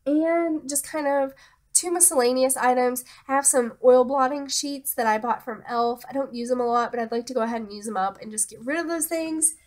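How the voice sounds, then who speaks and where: Chatty young woman, slightly stuffy. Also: fairly high pitch. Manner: relaxed, hesitant, many fillers and trailing asides. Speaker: beauty vlogger. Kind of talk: casual product talk to camera.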